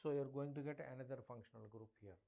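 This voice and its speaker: A man's voice: mid-range voice, fairly even intonation, racing, creaky, dark tone. A middle-aged man with an Indian English accent, giving an emphatic live chemistry revision lecture to students online and addressing them directly. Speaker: chemistry teacher.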